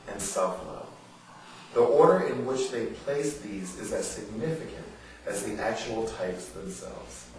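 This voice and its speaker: American male author at a live reading, earnest and emphatic, lowish voice, very echoey room, distant mic. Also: fairly bright tone. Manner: earnest, emphatic, rhetorical questions. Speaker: author giving a book reading. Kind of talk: public reading mixed with informal talk to an audience. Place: indoor literary salon before an audience. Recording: roomy echoing live venue, distant mic, faint noise.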